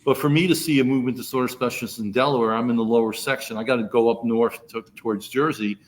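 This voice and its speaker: A middle-aged man from the Mid-Atlantic US co-hosting a podcast, talking loudly in casual chat and repeating words as he starts a thought. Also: medium pitch, steady tone, quick pace. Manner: loud, rambling, repeats words when starting. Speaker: podcast co-host.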